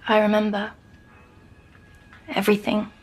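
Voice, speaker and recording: An actress playing a timid young girl troubled by nightmares in an audio drama, speaking hesitantly and softly. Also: mid-range voice, monotone, slightly rough, faint music behind. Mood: timid, uneasy. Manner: hesitant, halting, softly descriptive. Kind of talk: scripted audio drama acting.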